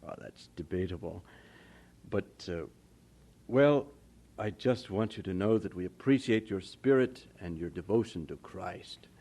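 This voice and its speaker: An actor playing a kindly older pastor in an audio drama, genial and measured as he encourages like a mentor. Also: low voice, expressive, darkish tone, full character voice. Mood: warmly serious.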